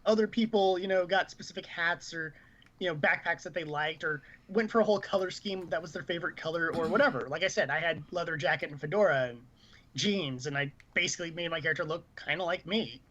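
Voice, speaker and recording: A man's voice: on the high side, fast, a bit bright, highs cut off, slight background noise. A man chatting casually and at length as a gaming podcast co-host, full of opinions. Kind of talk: casual panel chat about video games.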